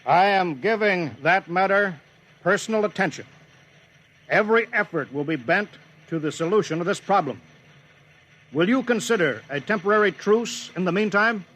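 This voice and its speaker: Husky, stern starship commander. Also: male voice, sits high, expressive, fairly bright tone. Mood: formal, declaiming. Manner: authoritative, clipped, deliberate. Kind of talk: 1950s radio acting. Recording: old 1950s radio drama recording.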